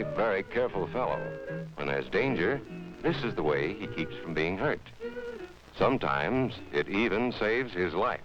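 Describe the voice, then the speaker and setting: A man's voice: sits low, fairly bright tone. An American man narrating a 1950s educational film, speaking to children in an instructive, emphatic manner. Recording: dull vintage 1950s film soundtrack.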